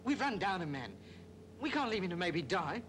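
A man's voice: sits high, very animated, normal speed, a bit bright. An actor playing a stubborn, insistent, hot-headed working man in an old British film. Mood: urgent pleading. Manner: insistent, combative. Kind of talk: 1940s British film acting. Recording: very noisy old film soundtrack.

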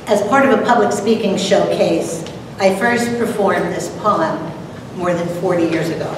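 A mature woman giving a prepared talk and dramatic poetry recital with deliberate, theatrical delivery. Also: on the low side, lively intonation. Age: middle-aged or older. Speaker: presenter, dramatic reader. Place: lecture hall, at a podium. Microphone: far camera. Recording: echoey noisy hall from far off.

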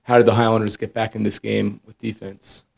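A man's voice: fairly low pitch, completely flat delivery, a little fast, vocal fry. A man working as a sports reporter, putting questions to a coach at a press conference.

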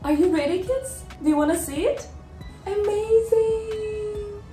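A woman's voice: extremely high pitch, unhurried, warm tone, faint music behind. A young woman reading a picture book aloud expressively for children. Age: young adult. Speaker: storyteller for children. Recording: roomy echo.

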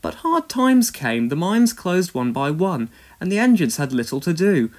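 Lively man reading a children's storybook. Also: a little high, moderate pace. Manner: expressive read-aloud delivery. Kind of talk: scripted children's storybook narration.

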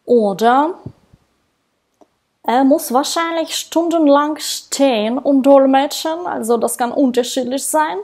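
A woman in her thirties, non-native in German, talking to camera about her work as a translator in a slow, careful way with hesitations. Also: sits high. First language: not German. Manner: slow, careful speech with frequent hesitations and soft laughs. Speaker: translator and interpreter. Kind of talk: solo talk to camera about her career. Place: indoors, small room with dark backdrop. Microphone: wired earphone mic.

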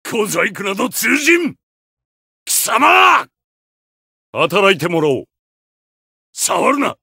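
A haughty, godlike male villain for a fighting game. The voice is fairly high for a man and swings dramatically in pitch, close and dry. He sounds ruthless, commanding and full of contempt. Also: big pitch swings, a little slow, creaky, sharp tone, breathy edge, dry recording, shouting, exaggerated voice. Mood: aggressive, shouting. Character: haughty, ruthless male supervillain with god complex. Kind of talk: Japanese fighting game voice acting.

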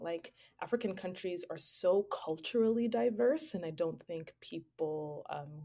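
A woman from Zambia, a playwright and actor, answering interview questions in a thoughtful, relaxed way and laughing easily. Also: lowish voice. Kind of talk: casual interview chat.

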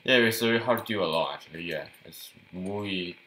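A man's voice: low-pitched, a little fast, pushed and bright, slight background noise. A man giving casual gameplay commentary, chatty and thinking aloud, often repeating words as he goes. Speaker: gameplay youtuber.

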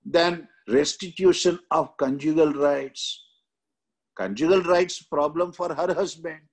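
An older man with an Indian accent lecturing on exam questions in a halting, repetitive way with frequent tags. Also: fairly high pitch, husky. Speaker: exam coaching teacher. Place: indoor room, seated.

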